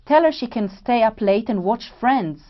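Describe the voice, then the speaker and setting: A woman's voice: a little high, lively intonation, moderate pace, close to an everyday voice. Actress as a worried woman, muffled lo-fi sound. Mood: tense. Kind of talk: stage play reading. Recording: dull lo-fi recording.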